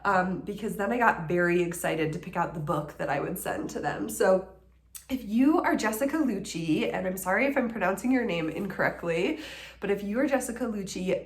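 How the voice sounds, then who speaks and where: An American woman in her thirties with a sniffly cold, chatting casually to camera at home, rambling with many ums and likes. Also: medium pitch. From Colorado, United States. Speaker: knitting vlogger and doctor. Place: living room at home, indoors. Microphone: camera in front at desk distance. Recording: echoey room.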